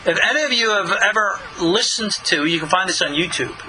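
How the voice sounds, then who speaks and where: A man's voice: high voice, animated, brisk, bright, forceful tone, a little hiss or hum, rough recording. A man, a rabbi, teaching a text in a conversational lecture style, full of rhetorical questions and asides.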